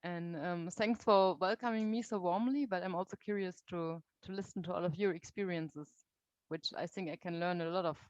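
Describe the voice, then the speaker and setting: A woman's voice: on the low side, normal speed, darkish tone, dry recording. A young woman from Germany with a German accent, giving a friendly talk on a call and hunting for words with many fillers. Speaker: guest speaker. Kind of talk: online talk to a meeting. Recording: dull lo-fi audio.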